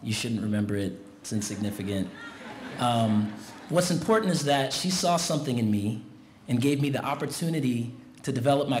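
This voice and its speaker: A man in his thirties giving a commencement speech at a podium mic in a big tent, talking in a relaxed, conversational way and getting the crowd involved. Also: medium pitch. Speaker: commencement guest speaker. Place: large tent at an outdoor graduation. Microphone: podium mic on a stand. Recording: roomy tent sound with faint noise.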